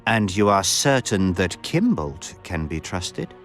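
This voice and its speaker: A suave game count with a lowish voice that rises and falls expressively. He talks in measured, ornate phrases full of insinuation, over faint music. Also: very animated, fairly bright tone, exaggerated voice. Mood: insinuating. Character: suave, scheming, eloquent nobleman count. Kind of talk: video game cutscene dialogue.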